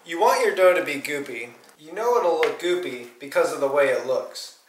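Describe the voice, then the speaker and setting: A young man co-hosting a home cooking tutorial, cracking silly deadpan jokes as he explains the steps. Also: a little high, normal speed, recorded from a distance, a little hiss or hum. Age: young adult. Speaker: cooking show co-host. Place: small home kitchen, indoors. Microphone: camera mic from a distance. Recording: echoey kitchen from off-mic.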